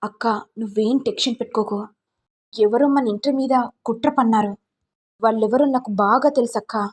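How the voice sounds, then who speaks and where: A woman's voice: on the high side, normal speed, a little mellow, slightly rough. A woman voicing a proud, stern matriarch in a family story, scolding and warning. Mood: firm.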